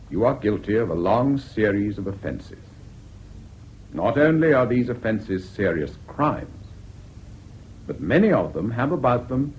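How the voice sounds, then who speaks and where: An actor playing a grave, disapproving English judge in a formal, measured radio drama style, with a British accent. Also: male voice, mid-range voice, darkish tone. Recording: dull lo-fi old radio recording, background noise.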